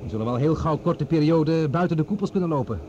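An actor playing a commanding scientist on Mars in a Dutch radio drama, speaking with authority. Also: male voice, fairly high pitch, lively intonation, fast, natural voice. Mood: visionary, calm. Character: commanding older male scientist on Mars, optimistic, urgent. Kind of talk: Dutch radio play acting. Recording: dull, noisy old radio drama recording.

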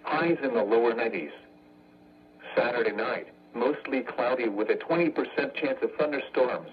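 A man's voice: medium pitch, moderate pace. Male synthetic announcer for automated weather radio: stilted, impersonal, clipped phrasing, muffled lo-fi broadcast with slight background noise. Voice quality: synthetic, stilted text-to-speech. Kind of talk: synthesized weather forecast reading.

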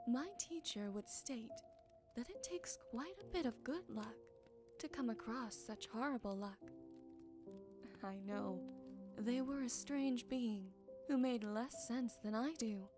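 Woman acting a caring wise witch for asmr, slow and soothing, higher voice, music behind. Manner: soothing, unhurried, tender. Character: gentle wise forest witch, caring and softly teasing. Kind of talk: ASMR roleplay.

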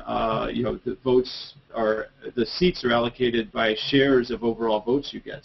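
Man, gravelly husky voice, measured explanation, muffled webcam call. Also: medium pitch. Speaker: course instructor. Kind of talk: explanatory answer in a Q&A. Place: office with painting on wall. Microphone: webcam mic on video call. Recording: muffled video-call audio.

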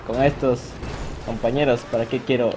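A man talks over his online game in an excitable, self-mocking way. He laughs often and jokes about his own mistakes. The sound of the game plays clearly behind his voice. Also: medium pitch, normal speed. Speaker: gaming youtuber. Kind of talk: live gameplay commentary. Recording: game audio noise behind the voice.

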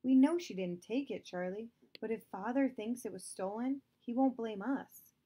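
A woman reading aloud, voicing an anxious son who hesitates and stammers, pitched higher than her narration. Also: moderate pace, mellow, natural voice. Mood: defensive. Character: anxious young son caught in a lie.

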